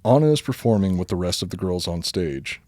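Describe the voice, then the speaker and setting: A man with a deep voice reads a silly bedtime story for a podcast. His delivery is deadpan, though he is prone to breaking into giggles. The sound is very dry, with hardly any room to it. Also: a little fast, no echo. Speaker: podcast host reading a story. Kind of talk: comic bedtime story reading.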